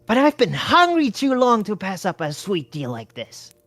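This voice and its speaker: A brash, greedy male hustler who is flat broke, pushy and full of slang, as a woman reading the story puts him on. The voice rises above her usual low pitch and swings widely, with a dry, close sound. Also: moderate pace, no echo.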